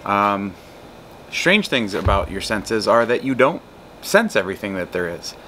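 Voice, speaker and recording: A man's voice: fairly low pitch, very animated, a little slow, pushed and bright, a little hiss or hum, rough recording. A man in his thirties giving a recorded psychology lecture outdoors in a backyard, explaining with a guitar and a whiteboard. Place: outdoors in a backyard patio and garden.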